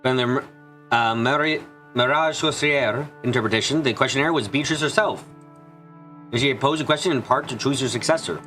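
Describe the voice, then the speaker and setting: A man reading a visual novel aloud as an expressive fan dub, narrating and voicing dialogue with the odd stumble. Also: medium pitch, fairly quick, faint music behind.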